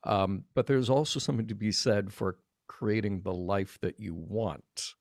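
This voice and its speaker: A man's voice: lowish voice, measured pace, gravelly, darkish tone, no echo, studio quality. A man from Alabama with an American accent, talking thoughtfully and discursively in a casual podcast discussion. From Birmingham, Alabama, United States. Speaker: podcast guest.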